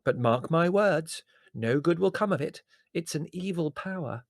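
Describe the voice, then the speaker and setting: A man's voice: a little high, lively intonation, moderate pace, dry recording, studio quality, pitched above the performer's own voice. A man voicing a coy, fussy, earnest woman cousin in a storybook, emphatic and confiding. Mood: confiding, ominous. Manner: gushing, confiding, emphatic, easily exasperated.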